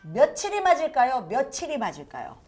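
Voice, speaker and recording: A woman's voice: high voice, wildly swooping pitch, brisk, music in the background. A woman hosting a casual studio talk show, leading a spelling quiz with upbeat prompts. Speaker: host.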